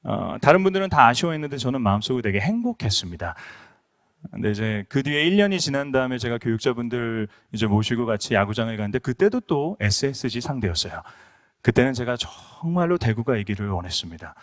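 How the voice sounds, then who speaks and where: A man's voice: mid-range voice, sing-song, fast talker. A middle-aged male pastor from Incheon giving a conference lecture, telling stories earnestly with many fillers. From Incheon, South Korea. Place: conference hall.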